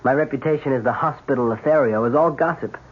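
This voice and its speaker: A man's voice: medium pitch, quick pace, slightly rough, lightly acted. A man acting a breezy, teasing playboy doctor in a 1970s radio drama, with a jaunty, joking delivery. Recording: tinny, hissy 1970s radio broadcast.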